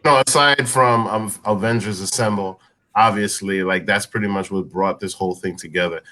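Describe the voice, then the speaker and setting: A man's voice: on the low side, steady tone, bright, forceful tone, low-quality recording. Insistent man in a casual film debate. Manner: insistent, halting, repeats phrases. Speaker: panelist on a film review show.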